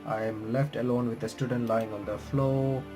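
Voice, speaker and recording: A man's voice: medium pitch, steady tone, warm tone. A man with a mid-range voice reads story text aloud for a game playthrough. He stumbles over words, corrects himself and drops in his own remarks, with music playing behind him. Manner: halting reading with stumbles and self-corrections. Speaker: gaming reaction streamer. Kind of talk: reading visual novel text aloud with commentary.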